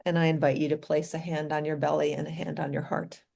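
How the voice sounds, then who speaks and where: A woman guides a meditation in a low, slightly gravelly voice with a soft, mellow tone. She speaks gently and invitingly, leaving space between short phrases. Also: flat delivery, fast. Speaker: doctor leading a guided meditation.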